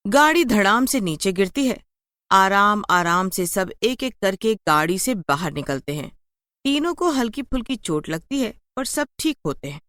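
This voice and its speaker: A woman's voice: medium pitch, lively intonation, moderate pace, a bit bright, dry recording, clean studio sound. A woman narrating a scripted horror story as a storyteller.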